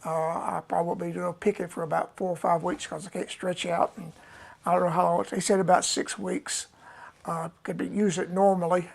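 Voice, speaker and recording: A man, chatting casually and unhurriedly to his live audience as a musician host, with many pauses. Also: high voice, little pitch movement, gravelly. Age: 70s. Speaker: musician hosting a live stream. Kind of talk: rambling casual chat.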